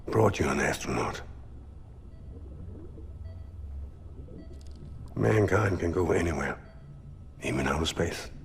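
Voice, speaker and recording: A man's voice: rumbling low, animated, normal speed, vocal fry, slight background noise, exaggerated voice. An actor playing a protective, guarded military father devoted to his child, in a cinematic video game. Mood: tender.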